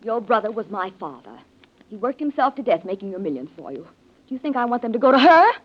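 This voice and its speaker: A resentful, calculating niece in a vintage radio drama. Her lines move with wide, expressive intonation. The sound is dull, like an old broadcast. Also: a little high, fast talker. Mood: indignant. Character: scheming, resentful niece, sleek and bitter schemer. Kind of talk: 1940s radio drama acting. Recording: muffled old-time radio recording.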